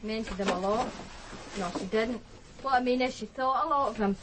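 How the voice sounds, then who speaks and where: Actress as young woman, Glasgow accent, very high voice, naive, defensive, colloquial radio drama, clear background noise. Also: fairly quick, natural voice. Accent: Scottish, Glasgow. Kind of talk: naturalistic radio drama acting.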